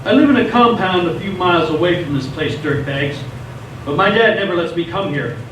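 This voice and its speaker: A man's voice: sits high, expressive, a little fast. Cocky teenage boy streamer played by a man, very echoey. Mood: subdued. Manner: showy, slangy vlogger address to viewers. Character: cocky teenage boy livestreamer, bruised, bravado over hurt. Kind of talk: radio theatre acting. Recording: echoey stage, distant mic, noisy.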